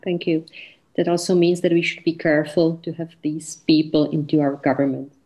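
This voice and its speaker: A woman with a distinctly low-pitched voice hosts a formal interview. She is courteous and measured, working through prepared questions for her guest. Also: flat delivery, warm tone.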